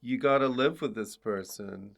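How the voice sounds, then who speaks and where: A man's voice: medium pitch, moderate pace, creaky. A man hosting a podcast with casual interview banter and dry, teasing asides. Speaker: podcast host.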